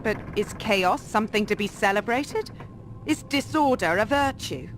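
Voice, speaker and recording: A woman's voice: medium pitch, animated, moderate pace, slight background noise, close to an everyday voice. Actress as a curt, defiant captive woman. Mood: skeptical, reserved. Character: defiant, dry-witted female former Templar captive.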